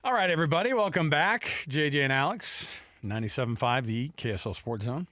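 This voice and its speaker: A man's voice: fairly high pitch, animated, a little fast, sharp tone, dry recording. A man hosting sports talk radio in a casual, chatty, joking way.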